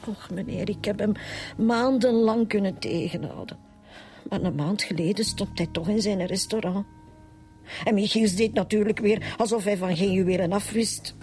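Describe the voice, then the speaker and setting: Woman acting widow, Flemish accent, grieving, embittered, hesitant, sighing, mid-pitched, radio serial. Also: normal speed, darkish tone, faint music behind, natural voice. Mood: weary, resentful. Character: grieving, embittered widow of a small-time burglar.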